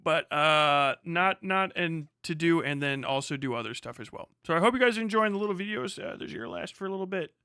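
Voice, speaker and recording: A man's voice: on the high side, lively intonation, brisk, hardly any pauses, pushed and bright, clean tone, no echo, studio quality. A man hosting a livestream with casual, rambling, sarcastic chat and plenty of swearing, sounding tired. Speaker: livestream host.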